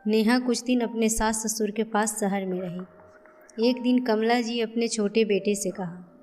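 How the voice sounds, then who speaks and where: A woman's voice: on the high side, steady tone, measured pace, a little mellow. A woman reading scripted narration for a cartoon family story, with music behind her.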